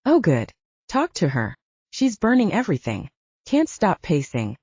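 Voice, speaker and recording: A woman's voice: fairly low pitch, huge pitch swings, a little slow, a little mellow, breathy edge, no echo, highs cut off, exaggerated voice. Woman reading as breezy, teasing young-sounding guy.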